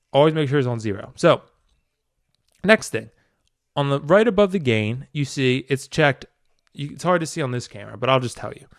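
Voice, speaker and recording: A man's voice: medium pitch, clean tone, no room sound, clean studio sound. A man giving a casual, hands-on tutorial. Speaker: tutorial video maker.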